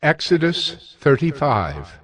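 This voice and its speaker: A man's voice: a little high, very animated, takes it slowly, a bit bright. A man reading scripted scripture narration as the narrator of an audio drama. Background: music.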